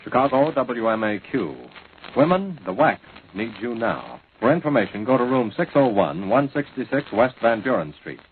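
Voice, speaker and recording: A man's voice: medium pitch, sing-song, moderate pace. An American man reading a wartime 1940s radio station announcement. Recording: hissy, phone-like 1940s radio recording.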